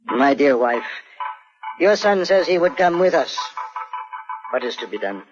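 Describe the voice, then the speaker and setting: A man's voice: a little high, very animated, measured pace. An actor playing a grave, stately lord and father with a heavy heart, in an old radio drama. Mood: grave, concerned. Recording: dull vintage radio recording, music behind.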